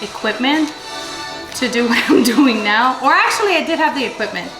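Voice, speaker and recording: A woman's voice: fairly high pitch, expressive, moderate pace. Chatty woman cooking in an echoey kitchen. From Dominican Republic. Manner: chatty, playful, talks to viewers and kids while cooking. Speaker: cooking channel host. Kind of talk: casual cooking vlog chat. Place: home kitchen. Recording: echoey kitchen with music playing.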